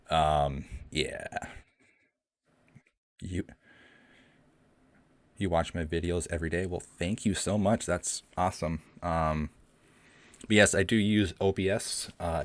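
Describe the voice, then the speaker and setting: Very deep-voiced man chatting on stream. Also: dry recording. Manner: rambling, many ums and restarts. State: shaky voice from the cold. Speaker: streamer hosting a live Q&A. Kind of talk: casual livestream chat. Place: cold room at home. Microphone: home streaming mic.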